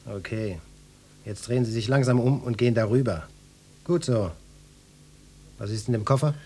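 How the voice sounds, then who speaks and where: An actor playing a brash, lecherous police detective in a German radio drama, gruff and bossy with pompous flirtatious flourishes. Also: male voice, medium pitch, moderate pace, dark tone, a little hiss or hum, lightly acted. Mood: bossy, suspicious. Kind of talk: German radio drama acting, parodic hard-boiled cop.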